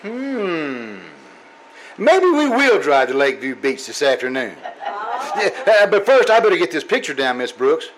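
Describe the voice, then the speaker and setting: A man's voice: fairly high pitch, very animated, a little slow. A man playing a mild, naive colleague in an old-time radio comedy, speaking earnestly and hesitantly. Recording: very noisy live stage, loud audience.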